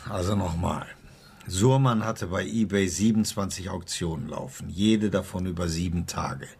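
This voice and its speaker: An actor playing a brisk, matter-of-fact police investigator in a radio crime drama, dry and clipped. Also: male voice, low voice, gravelly. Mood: businesslike. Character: brisk, businesslike male police investigator, dryly sure of himself.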